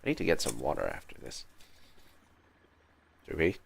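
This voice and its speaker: A man's voice: rumbling low, wildly swooping pitch, very fast, vocal fry, crowd noise. A man chatting casually as he livestreams a video game. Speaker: game streamer. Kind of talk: casual livestream chat while gaming.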